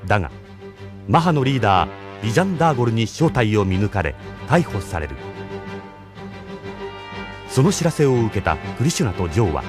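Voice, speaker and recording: Solemn story narrator, lowish voice, expressive range, anime drama recap, music underneath. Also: male voice, a little fast, long pauses. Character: serious male story narrator.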